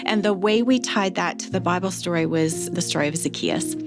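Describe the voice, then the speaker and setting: A woman with a fairly low voice explains and recounts in an interview style. She is measured, and music plays clearly behind her. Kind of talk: interview storytelling.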